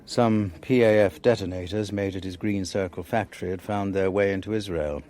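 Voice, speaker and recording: An actor in a radio drama playing a composed, skeptical interrogator, speaking in a deliberate, dry and pointed way. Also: male voice, deep voice, steady tone, mellow, close to an everyday voice. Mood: grave recounting. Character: probing, skeptical, composed male interrogator and narrator.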